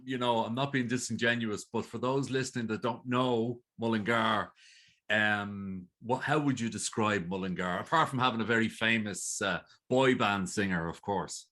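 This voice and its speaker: A man's voice: lowish voice, normal speed, sharp tone. A man with an Irish accent hosting an interview, asking long, rambling questions in a chatty way. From Ireland. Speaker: interview host. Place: video call.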